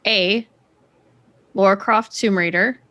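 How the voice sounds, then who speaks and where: A woman's voice: medium pitch, fairly even intonation, takes it slowly, sharp tone, highs cut off. Playful woman posing a quiz. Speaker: podcast co-host running a quiz. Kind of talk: casual panel chat.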